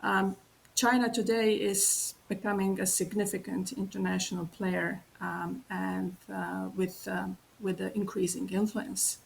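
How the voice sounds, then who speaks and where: Female military panelist, formal and hesitant, frequent pauses, creaky voice, faint hiss behind. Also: medium pitch, little pitch movement, poor audio. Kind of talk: panel discussion answer, formal.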